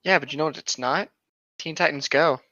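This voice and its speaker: A young man with a bright, sharp voice talks casually as a podcast co-host. He is sardonic and quick to giggle. The recording is dry and a little lo-fi. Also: fairly high pitch, no echo. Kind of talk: casual joking chat with bits of reading aloud.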